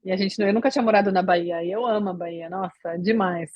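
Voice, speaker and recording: A woman's voice: mid-range voice, fairly even intonation, quick pace, no echo, dull audio. A woman from Brazil, a podcast guest, chatting casually and rambling with many fillers and restarts. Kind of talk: casual interview chat.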